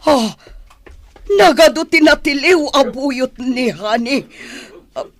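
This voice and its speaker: A man's voice: very high voice, slow pace, a bit bright, exaggerated voice. A man playing an anxious, cornered outlaw in a radio drama, speaking uneasily. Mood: alarmed. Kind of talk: Ilocano radio drama acting.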